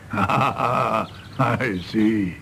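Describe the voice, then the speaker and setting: An actor playing a blunt, unruffled country doctor in a radio drama, gravelly and matter-of-fact. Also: male voice, on the low side, extremely slow, fairly bright tone, slight background noise, heavily acted. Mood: amused, congenial. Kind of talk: British radio drama acting.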